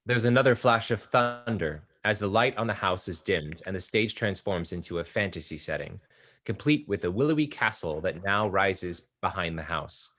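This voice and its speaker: Deep-voiced man reading stage directions. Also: moderate pace, fairly bright tone.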